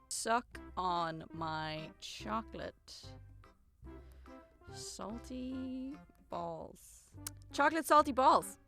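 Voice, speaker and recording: Bright-voiced woman reading comments. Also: medium pitch. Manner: sarcastic, self-mocking. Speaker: singer and YouTuber. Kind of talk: casual vlog reading comments aloud.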